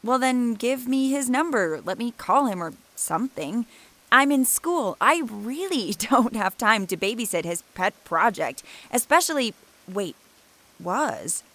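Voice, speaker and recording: A woman's voice: a little high, animated, unhurried, fairly bright tone. Nervy sarcastic college girl in an audio drama, casual, kinda crackly voice, super up and down. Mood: impatient. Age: young adult. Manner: casual, hesitant, muttering to herself. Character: Noelle, skittish, sardonic young woman college student. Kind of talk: naturalistic audio drama acting.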